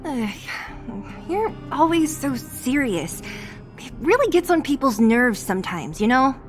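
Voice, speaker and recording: A woman's voice: high voice, very animated, unhurried, soft background music. A woman voicing a blunt, scrappy, loyal young woman in a video game, casual and teasing in her talk. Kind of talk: dramatic video game voice acting.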